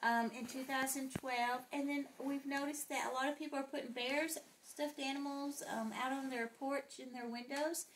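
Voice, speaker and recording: A woman's voice: sits high, normal speed, few pauses, rough recording. A woman in her thirties, a mother chatting casually and reading a picture book aloud expressively to children in a living room. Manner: expressive, with whispered sound words. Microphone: handheld phone. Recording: home phone video with background noise.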